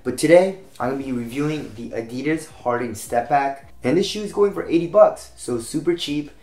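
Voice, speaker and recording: A young American man with a slightly raspy voice, getting over a cold, giving a casual review to camera as a channel host. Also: medium pitch, a little fast, darkish tone. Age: young adult. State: recovering from a cold. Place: small home room, indoors, at a desk. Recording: roomy echo.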